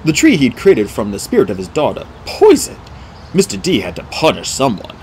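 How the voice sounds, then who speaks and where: Man voicing a measured, dignified middle-aged centaur mentor. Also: medium pitch, huge pitch swings, darkish tone, a little hiss or hum. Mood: wry, weary. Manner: measured, formal, deliberate. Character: wise, kindly middle-aged male centaur teacher, grave and dignified. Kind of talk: audiobook character dialogue.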